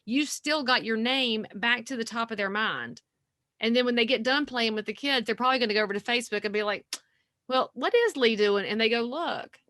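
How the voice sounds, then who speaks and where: A woman, talking as a guest realtor on a podcast, folksy and energetic. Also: on the high side, brisk, no room sound, clean studio sound. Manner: energetic, folksy, animated storytelling. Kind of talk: podcast interview.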